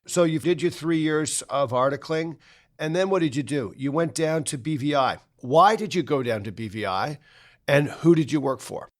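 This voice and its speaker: A man's voice: fairly high pitch, moderate pace. A middle-aged man hosting a podcast interview, asking friendly, leading questions. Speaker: podcast host.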